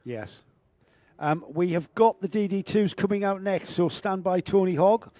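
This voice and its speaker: A man's voice: high voice, a little slow, mellow, dry recording. A man hosting a live karting stream, chatting with co-commentators and reading out viewers' names. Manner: chatty, jokey, reads out chat names. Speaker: race commentator and stream host. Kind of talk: live motorsport commentary and chat.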